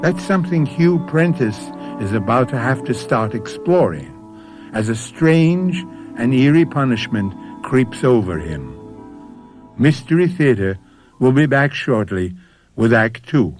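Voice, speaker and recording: A man hosting and narrating a radio drama in a scripted, deliberate, ominous and musing manner. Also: medium pitch, very animated, darkish tone. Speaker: host and narrator. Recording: music under the voice.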